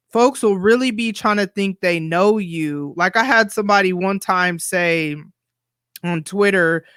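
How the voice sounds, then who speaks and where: A woman's voice: medium pitch, fairly bright tone, clear, resonant tone, dry recording, clean studio sound. A woman in her forties hosting her own podcast, talking candidly in a chatty, blunt way. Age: 40s. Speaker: podcast host. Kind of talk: solo podcast monologue.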